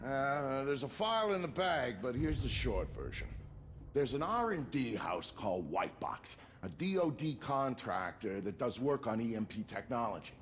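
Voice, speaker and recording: An actor playing a savvy middle-aged ex-military buddy in a video game, brisk, wry and plain-spoken. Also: male voice, medium pitch, big pitch swings, faint music behind, lightly acted. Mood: brisk, businesslike. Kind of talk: video game dialogue and narration.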